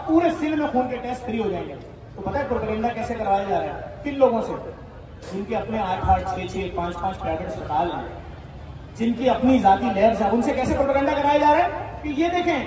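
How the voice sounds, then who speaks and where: A man's voice: extremely high pitch, brisk, mellow. A man with a Pakistani Urdu accent addressing a crowd outdoors, indignantly explaining costs into a handheld microphone. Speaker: charity organizer addressing a crowd. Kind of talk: public speech. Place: outdoor night gathering with a crowd. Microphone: handheld microphone, filmed from a distance. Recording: echoey, distant, crowd noise behind.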